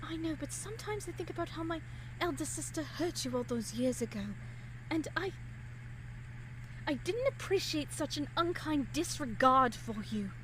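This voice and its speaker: A woman plays a genteel young lady of eighteenth-century Vienna in an audio drama. Her voice is high, her speech formal, caring and easily flustered into apology. The recording has clear background noise. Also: lively intonation, moderate pace. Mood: hurt, earnest. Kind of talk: period romance audio drama acting.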